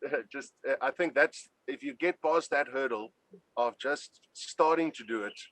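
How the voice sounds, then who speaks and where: A man with a mid-pitched voice and a dry, close sound. He gives emphatic, encouraging advice as a father and keeps repeating his key points. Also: moderate pace, fairly bright tone, dry recording, poor audio. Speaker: homeschooling traveling father. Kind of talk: casual interview answers.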